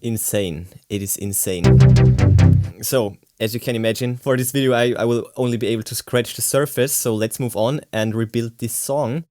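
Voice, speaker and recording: A man's voice: mid-range voice, moderate pace. Enthusiastic man demonstrating gear over music. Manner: enthusiastic, exclamatory. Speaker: tech reviewer. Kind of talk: casual product demonstration. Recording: music playing behind the voice. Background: synth and guitar music.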